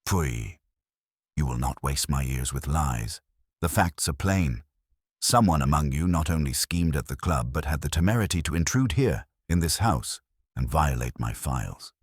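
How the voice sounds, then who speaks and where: Male narrator as unyielding genius sleuth for mystery audiobook: formal, commanding, very low, with emphatic swings in pitch. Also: animated, breathy edge, dry recording, clean studio sound, exaggerated voice. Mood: cutting, indignant. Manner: slow, measured syllables, formal and commanding. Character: imperious, calm, stern male detective genius, pedantic and unyielding.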